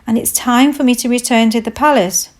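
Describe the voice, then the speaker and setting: A dutiful storybook butler performed by a woman, weary and given to pondering. The voice sits raised in pitch, with music softly underneath. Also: steady tone, brisk, natural voice. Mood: resigned, pondering. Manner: sighing, pondering. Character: weary, dutiful male royal butler in a fairy tale.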